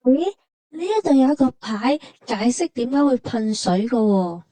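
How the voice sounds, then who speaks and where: A woman's voice: fairly high pitch, lively intonation, moderate pace, mellow, dry recording. A woman with a Hong Kong Cantonese accent narrating a travel vlog conversationally, explaining things like a storyteller.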